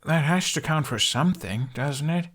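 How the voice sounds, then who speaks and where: A man's voice: on the high side, lively intonation, moderate pace, fairly bright tone, pitched above the performer's own voice. An earnest young male Viking, acted out by an audiobook narrator, caring and stubbornly persistent. He presses his questions with feeling in a fantasy story. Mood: pleading.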